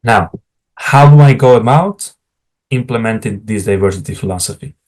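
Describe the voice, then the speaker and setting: A man's voice: lowish voice, moderate pace, darkish tone, poor audio. A middle-aged man from Spain, a native Spanish speaker with a Spanish accent, frankly explaining how to write academic job materials and often turning to the viewers with asides. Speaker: academic career advisor. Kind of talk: explanatory talk to camera.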